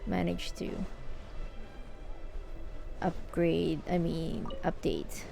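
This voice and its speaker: A woman game streamer chatting casually and commenting on gameplay in an informal, halting way. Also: fairly low pitch, fairly even intonation, gravelly. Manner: informal, halting, repeats herself. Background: game music.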